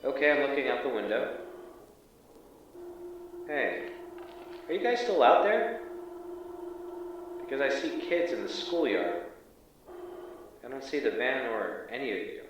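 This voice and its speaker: An actor playing a wry, uneasy field agent who reports in a casual, halting, deadpan way in an audio drama. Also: male voice, mid-range voice. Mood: casual, puzzled. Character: wry, uneasy male field agent exploring an abandoned school. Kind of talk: audio drama acting, exploration log. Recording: echoey, distant, faintly noisy field audio.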